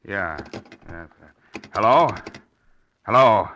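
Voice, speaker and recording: Deep, blustery police commissioner. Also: male voice, lively intonation, slow, pushed and bright, muffled recording, a little hiss or hum, full character voice. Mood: flustered bluffing. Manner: flustered, hesitant, blustery. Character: blustering, nervous police commissioner, mature man. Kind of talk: 1940s radio acting. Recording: old 1940s radio recording.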